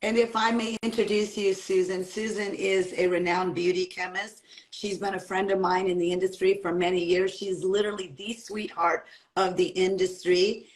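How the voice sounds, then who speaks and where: A woman's voice: mid-range voice, level tone, moderate pace, low-quality recording. A woman hosting a live video-call panel, welcoming guests in an upbeat way. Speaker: panel host, company founder.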